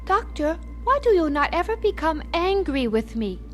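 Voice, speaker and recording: A devout patient in an audio drama, really high lilting voice, earnest, music behind. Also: female voice, big pitch swings, normal speed. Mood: earnest wonder.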